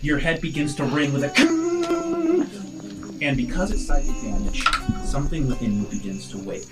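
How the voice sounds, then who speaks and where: A man working as game master, improvising dramatic tabletop narration in halting phrases. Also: mid-range voice, animated, over loud music, poor audio.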